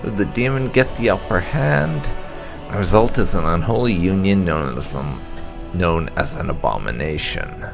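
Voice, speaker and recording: Male gaming narrator, deep voice with lively swings in intonation, reading scripted lore over music. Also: moderate pace, creaky. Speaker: gaming channel host.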